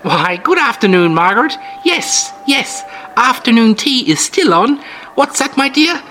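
A man voicing a sly, genteel elderly woman with a sugary, shrill falsetto. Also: sing-song, measured pace, pushed and bright, a little hiss or hum, heavily acted. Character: sly elderly woman hostess, sweet turned menacing. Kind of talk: storytelling reading.

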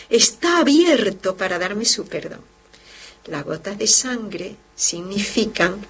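A woman's voice: fairly high pitch, breathy edge. A woman who is a religious sister, hosting a devotional program, explaining with fervor and speaking directly to her listeners. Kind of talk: devotional teaching and reading listener letters. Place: lives in Barcelona.

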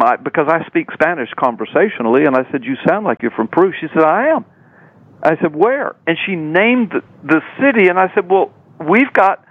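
Older male radio guest, slightly high voice, emphatic repeating storyteller, muffled dry line. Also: no room sound. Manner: emphatic, repetitive, dramatic storyteller. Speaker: radio guest, preacher and author. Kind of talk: animated personal storytelling in an interview.

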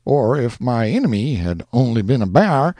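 A man's voice: medium pitch, expressive, slow, dark tone, dry recording, voice pushed up. A man voicing a young frontier woodsman who swings between lofty book talk and a rustic drawl. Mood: earnest.

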